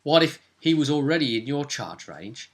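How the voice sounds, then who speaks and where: A man's voice: medium pitch, sing-song, moderate pace. A man talking casually through a tabletop roleplaying recap as game master, thinking aloud and checking with tag questions.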